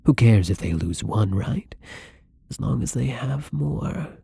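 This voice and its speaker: Apologetic, hungry young vampire for ASMR roleplay, played by a man: gravelly, slow-paced, soft and hesitant, slipping into whispers. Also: mid-range voice, lively intonation, no room sound, heavily acted. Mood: apologetic, bitter. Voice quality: husky, breathy. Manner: soft, hesitant, breathy, often dropping to whispers. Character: newly turned young male vampire, apologetic, timid, hungry. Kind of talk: intimate ASMR roleplay acting.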